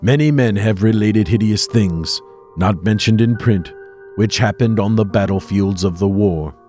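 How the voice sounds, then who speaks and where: A man acting a fearful, haunted army doctor in a gothic horror audio drama. His voice sits low, and he speaks with grave, formal drama. Faint music plays underneath. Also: measured pace, darkish tone, lightly acted. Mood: dread.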